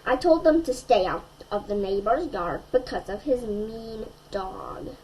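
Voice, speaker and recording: A woman storyteller reading a children's picture book aloud in an expressive way, addressing young listeners. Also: medium pitch, a little slow, a little hiss or hum, low-quality recording.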